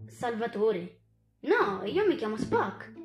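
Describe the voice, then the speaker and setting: A woman's voice: on the high side, big pitch swings, slow, roomy sound, soft background music. A woman voicing a small, naive young alien boy, timid and hesitant, in a children's cartoon. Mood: earnest, unsure. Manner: hesitant, innocent.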